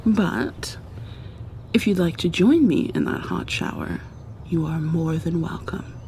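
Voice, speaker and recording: A woman playing an affectionate, teasing, gently commanding girlfriend in an ASMR roleplay, speaking intimately and coaxingly and often dropping to a whisper. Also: lowish voice, a little slow, slight background noise.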